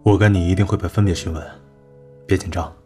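An actor playing a blunt older company boss in an audio drama, talking curtly and protectively. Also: male voice, deep voice, steady tone, fast, warm tone, natural voice. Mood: reassuring. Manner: curt, scolding and protective. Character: blunt older male executive, protective and gruff. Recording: studio voice with music bed.

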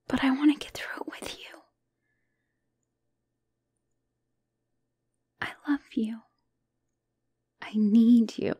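A woman's voice: fairly high pitch, dark tone, no room sound, studio quality. A woman voicing an anxious, self-doubting young spider-woman girlfriend in an ASMR roleplay, speaking hesitantly and emotionally and often slipping toward a whisper. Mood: pleading, tender.

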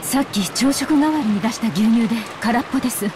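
A woman's voice: on the high side, lively intonation, rapid-fire, noisy background, lightly acted. A woman voicing a composed, matter-of-fact character in a Japanese visual novel.

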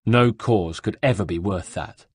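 A man's voice: on the low side, a little slow, breathy edge, no room sound, clean studio sound. A man voicing an earnest, principled male hero in an audiobook, speaking simply. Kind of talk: audiobook character dialogue.

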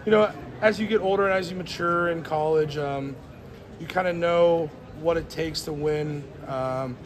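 A young college football player answering reporters after a game in a crowded weight room, earnest and grateful, with many fillers. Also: male voice, a little high, normal speed. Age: young adult. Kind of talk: postgame media scrum. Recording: crowd chatter behind.

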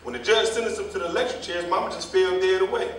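A man's voice: sits high, fairly even intonation, rapid-fire, fairly bright tone. A man playing a gossipy, combative older jitney driver in a stage play reading, telling stories in a folksy, rambling, colloquial way. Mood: indignant. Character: gossipy, combative old male jitney driver, scolding the young. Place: theater or hall staged reading, far mic. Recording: echoey hall, distant mic, faint noise.

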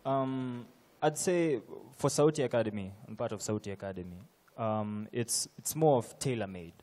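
A man's voice: mid-range voice, moderate pace, warm tone. A man with a Kenyan accent, a musician speaking casually on a panel into a handheld mic, slipping into Swahili phrases. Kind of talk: panel discussion. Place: conference stage.

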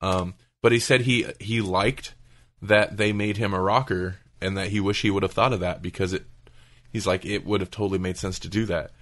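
A man's voice: deep voice, steady tone, normal speed. A man chatting casually as a podcast host, thinking aloud and often qualifying what he says.

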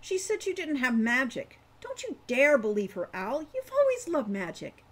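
A woman voicing an impulsive, excitable young girl who talks eagerly and pushes her point. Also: high-pitched, moderate pace, voice pushed up. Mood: insistent. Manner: bubbly, eager, pushy.

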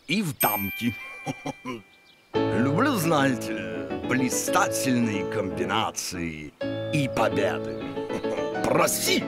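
An actor voicing a suave, pompous, grinning piano gentleman in a children's cartoon, with theatrical drawn-out delivery. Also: fairly low pitch, big pitch swings. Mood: smug, pleased. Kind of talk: children's cartoon character acting.

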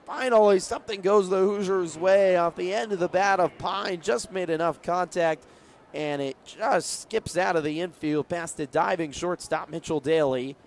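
A man's voice: high voice, normal speed. A young man calling live baseball play-by-play for radio. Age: young adult. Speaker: play-by-play broadcaster. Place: outdoor ballpark broadcast. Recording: crowd and stadium noise behind. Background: ballpark crowd.

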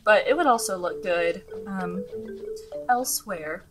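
A young woman narrates her gameplay in a loose, chatty style, laughing often and circling back with ums and restarts. Her voice is slightly scratchy, as if she has just woken up. Bright, clear game music plays underneath her the whole time. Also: medium pitch, animated, unhurried. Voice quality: chatty, giggly, many fillers and restarts. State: scratchy morning voice. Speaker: gaming channel host. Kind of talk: casual let's-play commentary.

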